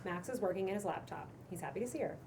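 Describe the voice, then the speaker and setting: A woman's voice: mid-range voice, lively intonation, quick pace, recorded from a distance. A woman reading scripted stage directions aloud as narrator at a table read. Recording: noisy room recording.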